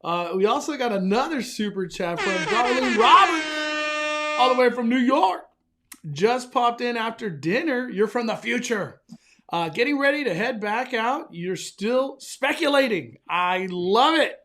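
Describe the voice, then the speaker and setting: A young man hosting a casual live stream, excitable and enthusiastic, often stumbling and restarting his sentences. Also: very high-pitched, a little slow. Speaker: livestream host.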